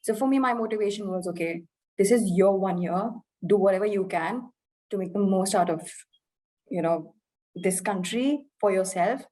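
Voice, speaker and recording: A woman in her twenties from India, with an Indian English accent softened by life in the UK, speaking earnestly and reflectively as an interview guest, with fillers and restarts. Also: mid-range voice, normal speed, poor audio. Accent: Indian English, softened by years in the UK. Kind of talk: candid conversational interview.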